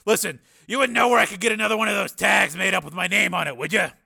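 A man's voice: high-pitched, quick pace, vocal fry, pushed and bright, slightly rough, pitched above the performer's own voice, full character voice. A man voicing a street-talking, easygoing civilian cameraman in an audiobook, with a casual, protesting manner. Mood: casual, sheepish.

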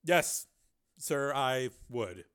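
A man voicing a desperate male project chief who speaks in a strained, halting way and bursts into furious shouts. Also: mid-range voice, lively intonation, clean tone. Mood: shaken.

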